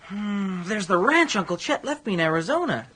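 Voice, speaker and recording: A man's voice: sits high, big pitch swings, moderate pace, sharp tone, husky, full character voice. An actor playing an easygoing, good-hearted rich man with an American accent and a slangy, breezy manner in a radio comedy drama. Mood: cheerful, easygoing.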